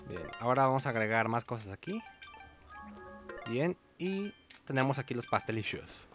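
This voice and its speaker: A man's voice: mid-range voice, sing-song, normal speed, a bit bright, faint music behind. A man with a Mexican Spanish accent giving casual, chatty gameplay commentary in informal slang as host of a gaming video. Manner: informal, chatty, hums little sound effects.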